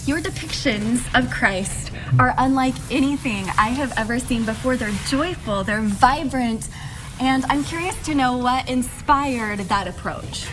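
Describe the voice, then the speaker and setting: A woman's voice: high-pitched, measured pace, noisy background. Upbeat woman hosting a TV interview. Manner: upbeat and chatty, laughs easily. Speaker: TV show host. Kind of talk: morning TV interview. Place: TV studio.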